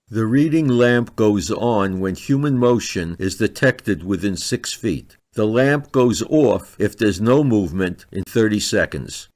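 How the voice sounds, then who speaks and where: Slow-paced man narrating a product review. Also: medium pitch. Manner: methodical, step-by-step delivery. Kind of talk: scripted instructional narration.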